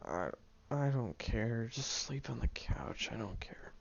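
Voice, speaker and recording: A man voicing a grumpy tsundere teenage boy who is gruff but caring, grumbling, sighing and muttering half-whispered asides in an audio roleplay. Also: mid-range voice, lively intonation, unhurried, highs cut off. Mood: gruff concern.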